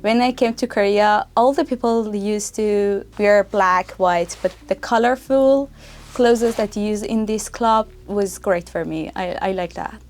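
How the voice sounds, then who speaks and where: A young woman from Iran, giving interview answers in slow, searching phrasing as an international language student. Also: medium pitch, darkish tone. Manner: slow, searching, non-native phrasing with repeats.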